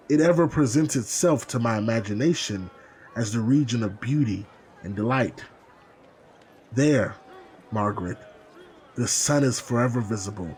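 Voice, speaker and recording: A hopeful explorer played by a man reads his letter in a mid-pitched voice. He is earnest and formal, given to rhapsody, in the manner of a literary audio drama. Faint ambient noise sits behind him. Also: a little mellow, natural voice, low-quality recording. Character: hopeful, ardent male explorer writing to his sister.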